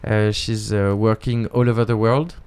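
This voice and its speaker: Smooth-voiced Frenchman hosting a casual interview. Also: lowish voice, little pitch movement, moderate pace, a little mellow. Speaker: host.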